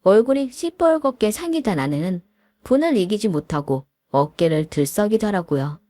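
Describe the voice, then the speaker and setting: A woman voicing a middle-aged husband who calmly retells how his wife fought an old classmate, in polite storybook narration. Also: mid-range voice, dry recording, clean studio sound. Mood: recounting. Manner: measured, recounting in polite speech.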